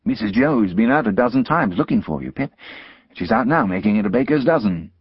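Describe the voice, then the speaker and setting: A mild, simple blacksmith who talks slowly and homely, as a man puts him on. The voice is gravelly and husky. The recording is dry and muffled. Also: on the low side, lively intonation, no echo, pitched above the performer's own voice.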